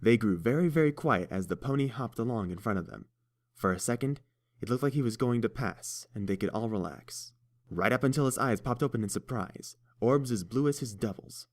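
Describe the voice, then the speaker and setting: A man narrating a fan-fiction storybook in a steady, measured way. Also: medium pitch. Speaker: audiobook reader.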